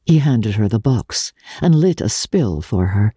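A woman with a husky, dark-toned voice reads literary narration in a dry, close recording. Her delivery is measured yet theatrical and expressive, with a highly varied melody. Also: dry recording. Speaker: audiobook narrator.

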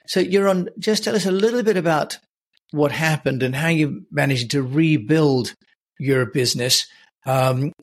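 Husky-voiced male podcast host. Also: mid-range voice. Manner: friendly, measured questions with "you know" fillers. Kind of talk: interview hosting.

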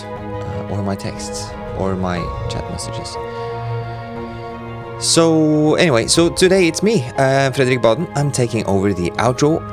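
A man giving an informal, slightly unsure podcast outro and reading credits in a chatty way. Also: fairly low pitch. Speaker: composer standing in as credits reader. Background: music.

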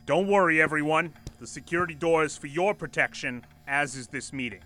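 An actor playing a brash, defiant former executive in an audio drama, with a smug, theatrically formal manner. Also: male voice, a little high, brisk, pushed and bright. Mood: smug, controlled.

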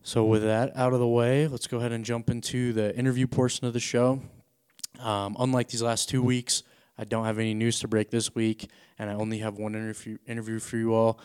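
A man hosting a sports podcast, chatty and relaxed, introducing and interviewing a guest. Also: mid-range voice, a little fast, clean tone. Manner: relaxed, chatty, with frequent restarts and fillers. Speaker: podcast host.

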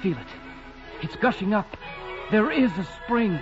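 An actor playing an urgent, excitable village man in an old radio drama, exclamatory in manner. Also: sits high, unhurried. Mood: amazed, urgent. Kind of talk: 1940s radio drama acting. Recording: muffled old radio, music and crowd.

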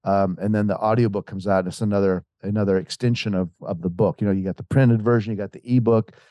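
A man's voice: fairly low pitch, steady tone, fast, mellow, dry recording. A man, an author as interview guest, tells a long story in casual talk. He rambles with many restarts and acts out quoted voices.

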